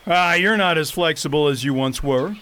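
A man's voice: fairly high pitch, sharp tone, a little hiss or hum. A man playing an eccentric, stubborn, roly-poly old king in a theatrical radio fairy tale. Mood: jolly. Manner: theatrical, unhurried, chanting.

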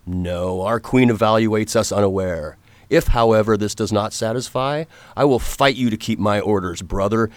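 An actor playing a calm, resolute undercover agent in a radio drama, speaking with formal period diction. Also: male voice, fairly low pitch, normal speed, no echo, natural voice. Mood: firm. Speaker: narrator and lead. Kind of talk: radio drama narration and acting.

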